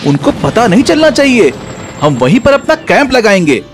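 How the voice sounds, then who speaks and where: Male storyteller as eager scientist henchman, high-pitched, earnest, animated story role. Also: normal speed, faint music behind. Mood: instructing. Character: eager male scientist serving a rich boss.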